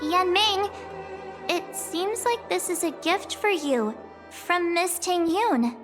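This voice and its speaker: A young girl adventurer from a video game, very high in pitch and unhurried. She is curious and helpful, musing aloud over clear background music. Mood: curious, gentle.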